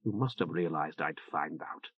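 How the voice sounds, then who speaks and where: A man's voice: normal speed, breathy edge. A man voicing a blunt, weary female general who speaks tersely, in a dull, muffled recording. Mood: flat, blunt. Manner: terse, low and quiet. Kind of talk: audiobook character voice.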